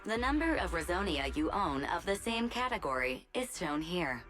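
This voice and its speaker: A dutiful AI helper from a video game. She speaks in a fairly low female voice with clipped, precise instructions that still rise and fall a lot. Faint music sits underneath. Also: sing-song, normal speed. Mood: precise, helpful. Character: dutiful female AI assistant guiding her master.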